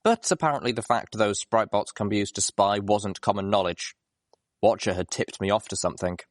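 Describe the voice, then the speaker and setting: A man reading a story aloud as a scripted, expressive narration. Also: on the low side, fairly quick, dry recording, studio quality. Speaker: audiobook reader.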